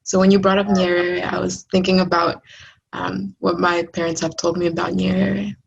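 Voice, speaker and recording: A woman's voice: fairly low pitch, little pitch movement, normal speed, gravelly. A woman from Tanzania, a student, asking prepared questions over a video call. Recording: dull lo-fi call audio with faint noise.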